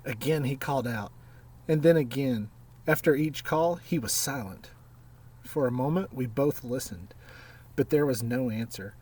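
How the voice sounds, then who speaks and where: Male storybook reader, slow and measured, recorded a little way off the mic. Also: medium pitch, a little mellow, slightly rough, recorded from a distance. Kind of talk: read-aloud children's storytelling.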